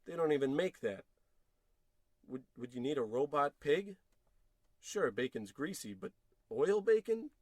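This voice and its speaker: A man's voice: fairly high pitch, expressive, a little slow, long pauses, no echo, studio quality. A man playing a frustrated, self-pitying unemployed husband in an old-time radio comedy, wordy, sarcastic and theatrical. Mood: indignant. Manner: wordy, indignant, sarcastic rambling.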